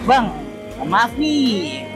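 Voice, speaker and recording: A man chatting casually as a motorcycle taxi driver, explaining things with halting self-repeats over a helmet intercom while riding. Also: very high-pitched, big pitch swings, over music. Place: outdoors, riding a motorcycle through city streets. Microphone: helmet intercom headset.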